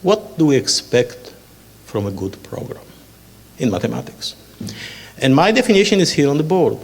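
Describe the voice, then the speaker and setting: An older man from Israel with a clear Israeli accent lectures from slides in a measured way, dropping dry asides. His voice sits at an ordinary middle pitch. The sound rings with the long reverb of a lecture hall. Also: very animated. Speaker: panel speaker.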